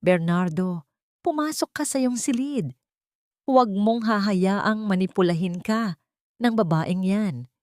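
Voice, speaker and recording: A menacing, wealthy old matriarch voiced by a woman narrator from a dramatic audio story. She speaks with cold command and open threat, swinging widely in pitch, in a dry, close recording. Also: animated, measured pace, long pauses, darkish tone, dry recording, close to an everyday voice. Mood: angry, commanding.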